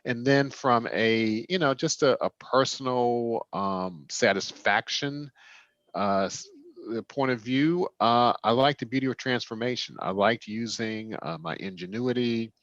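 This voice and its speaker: A man's voice: mid-range voice, measured pace, fairly bright tone, no echo, lo-fi sound. A man in his sixties from Washington, D.C., answering interview questions conversationally as a real estate developer, explaining things through personal anecdotes. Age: 60s.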